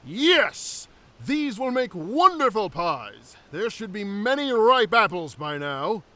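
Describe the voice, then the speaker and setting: A man acting as an exuberant young-sounding druid royal, high and bright. He draws words out eagerly and swoops around, in fantasy audio drama style. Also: sing-song, slow pace, exaggerated voice. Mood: excited. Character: excitable druid royal, boyishly eager about pies.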